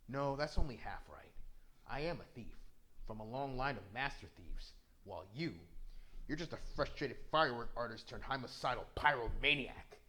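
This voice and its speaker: A man's voice: medium pitch, expressive, normal speed, pushed and bright. A man voicing a cocky, wisecracking young raccoon thief from a video game, cool and drily sarcastic. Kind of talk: video game hero fan voice-over.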